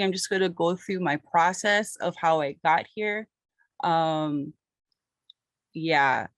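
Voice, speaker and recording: A woman from Hawaii presents her botany research as a lecture with slides. Her voice sits on the lower side and she speaks in a steady, earnest way. The sound is dry and close, with no room echo.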